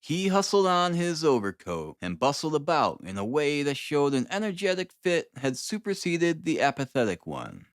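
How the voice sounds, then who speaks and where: A man narrating a classic novel as an audiobook, expressive, with deliberate, dramatic phrasing. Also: fairly high pitch, no echo, clean studio sound.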